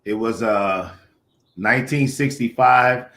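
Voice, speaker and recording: A man's voice: mid-range voice, takes it slowly, pushed and bright. A male co-host who chimes in with short remarks and laughs often. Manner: laughing, brief backchannel remarks. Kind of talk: casual chat.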